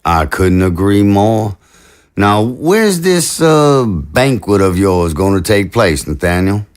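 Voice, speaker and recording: An actor playing an affable, diplomatic zombie president with a folksy, slightly hesitant manner in an audio drama. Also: male voice, lowish voice, sing-song, takes it slowly, clean tone. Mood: measured, diplomatic. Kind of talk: dramatic dialogue acting.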